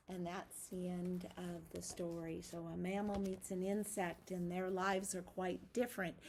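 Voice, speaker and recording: Woman, preschool teacher, slow pace, creaky voice, sing-song, read-aloud and lesson style. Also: mid-range voice, darkish tone, slight background noise. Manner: sing-song, addresses children directly. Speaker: early childhood teacher.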